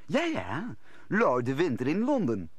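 An actor playing an excitable musketeer conspiring with his comrades in an old Dutch radio play. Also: a little high, unhurried, slightly rough, no room sound, heavily acted. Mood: conspiratorial.

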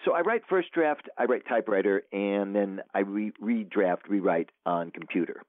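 A man's voice: fairly low pitch, moderate pace, no echo. Rambling man on a tinny phone line. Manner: rambling, many fillers and restarts. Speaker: author guest. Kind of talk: casual interview chat.

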